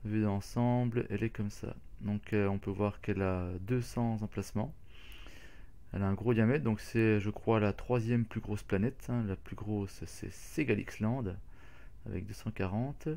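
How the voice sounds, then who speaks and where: A man's voice: fairly low pitch, fairly even intonation, moderate pace, vocal fry, dark tone. A man hosting a casual game walkthrough, talking hesitantly with many fillers and restarts. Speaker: gaming channel host.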